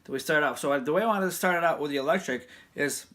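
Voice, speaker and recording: High-voiced man teaching guitar. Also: racing. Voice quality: fairly high voice. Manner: loose, rambling, restarts often. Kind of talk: casual guitar lesson. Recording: guitar playing loud behind him.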